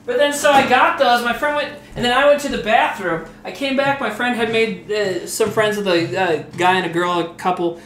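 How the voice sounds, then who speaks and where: A man in his early forties with a fairly high voice rambles through a casual story, laughing and swearing as he goes. He sounds a little tipsy from rum. The room around him is echoey with faint noise behind. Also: normal speed, hardly any pauses, fairly bright tone. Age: 40s. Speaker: comedian streaming while cooking.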